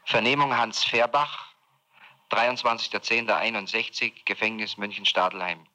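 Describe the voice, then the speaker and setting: A man's voice: on the low side, steady tone, normal speed, pushed and bright. A man acting as an official, speaking in a formal, procedural way as he dictates a record and instructs. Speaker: interrogating official.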